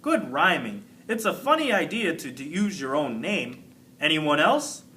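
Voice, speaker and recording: A man's voice: on the high side, very animated, a little slow, pushed and bright, distant mic, slight background noise, exaggerated voice. A man reading a storybook as a kindly, encouraging woman schoolteacher who leads her class. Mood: encouraging, friendly.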